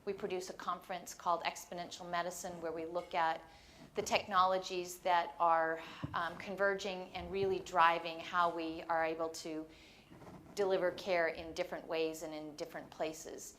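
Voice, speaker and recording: A woman moderates a conference panel with a lower voice. She sounds upbeat and encouraging to the crowd. A faint noise sits behind her. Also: moderate pace. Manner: energetic, encouraging, many fillers. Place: conference stage before an audience.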